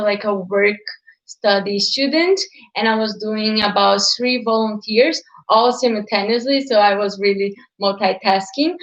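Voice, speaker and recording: A woman's voice: a little high, reverberant, highs cut off. A woman in her twenties from Brazil, a native Portuguese speaker, talking casually to livestream viewers, with many fillers like "like" and "uh". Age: 20s. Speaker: channel host. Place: at home.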